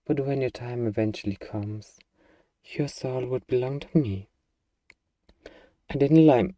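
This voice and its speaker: A man's voice: mid-range voice, warm tone, dry recording, recorded from a distance, lightly acted. A man playing an eager, bargaining demon who craves a cuddly pet, in a playfully earnest, wheedling ASMR roleplay monologue. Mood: defensive, reassuring.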